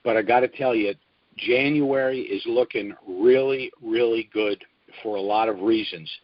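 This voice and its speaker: An older American man hosting a conference call as its spokesman, giving an informal business briefing in a measured way with emphasis on key points. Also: lowish voice, no echo. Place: at home, recorded over a conference call. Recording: dull, dry phone conference audio.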